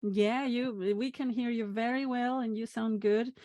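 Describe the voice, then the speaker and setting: A woman hosting a podcast, introducing her guest and asking questions in a measured way with frequent restarts. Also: a little high, steady tone, warm tone, dry recording. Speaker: podcast host.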